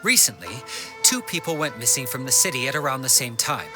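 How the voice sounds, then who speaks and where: An actor plays an obliging, well-informed townsman in a video game. He talks with lively, swinging pitch and polite conversational manners, with music clearly playing behind him. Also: a little fast, lightly acted. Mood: informative. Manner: polite, expressive, conversational, flattering. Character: obliging, well-informed, courteous man of the town. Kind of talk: scripted video game dialogue. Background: background music.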